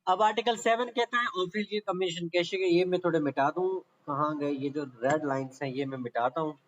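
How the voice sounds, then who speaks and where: A man's voice: fairly high pitch, steady tone, fairly quick, a bit bright, lo-fi sound. A man with a Pakistani accent giving an explanatory law lecture, reading aloud and then explaining, repeating points and often checking that listeners follow. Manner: repetitive, checks understanding often.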